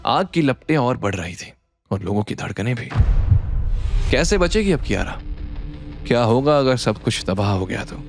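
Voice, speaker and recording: A man's voice: mid-range voice, big pitch swings, moderate pace, pauses often, over music. A man narrating a scripted drama story as its narrator, in a dramatic style.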